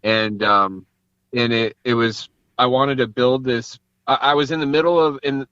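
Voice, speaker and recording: A man's voice: medium pitch, steady tone, unhurried, a bit bright, clear, resonant tone, no echo. A man speaking as an interview guest in casual, informal conversation, thinking aloud as he goes, over dull band-limited call audio. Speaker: guest, entrepreneur and father.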